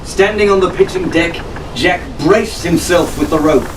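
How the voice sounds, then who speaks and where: A man's voice: sits high, normal speed, far from the mic, background noise. A man reading an adventure story aloud as a storyteller, in dramatic scripted audiobook narration.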